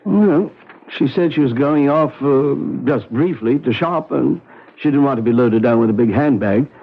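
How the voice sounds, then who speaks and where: An actor playing a dry, wry doctor in a 1970s radio drama. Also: male voice, mid-range voice, normal speed, slightly rough. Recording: tinny old radio broadcast.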